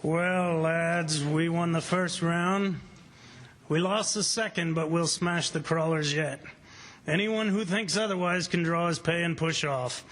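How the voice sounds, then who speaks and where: An actor playing a confident, defiant plantation boss giving commands in a staged radio drama. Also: male voice, on the high side, steady tone, unhurried. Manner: forceful, commanding.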